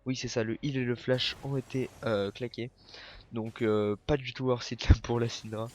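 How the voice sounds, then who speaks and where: A man's voice: medium pitch, steady tone, moderate pace, a little hiss or hum. A young man with a cold, commentating a video game match alone, hesitant, with many fillers and self-corrections. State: has a cold, coughing. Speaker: esports caster.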